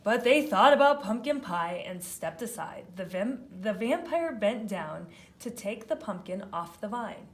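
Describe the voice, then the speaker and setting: Female picture-book narrator, unhurried, medium pitch, expressive emphasis for young listeners. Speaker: storybook narrator. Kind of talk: children's read-aloud narration.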